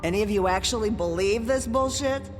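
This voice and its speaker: A woman's voice: medium pitch, a little fast, long reverb tail, soft background music, natural voice. An actress playing a tough, bitter, hot-tempered woman in a video game, blunt and confrontational. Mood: scornful. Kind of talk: dramatic video game acting.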